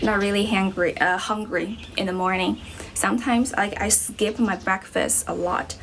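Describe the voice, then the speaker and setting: A woman's voice: medium pitch, moderate pace, a little hiss or hum. A young Chinese woman teaching English on a livestream, with a Chinese accent and a slight American tinge, chatting casually with lots of fillers. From Guizhou province, China. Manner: relaxed, chatty, many fillers like "you know" and "um". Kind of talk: casual livestream chat answering viewers.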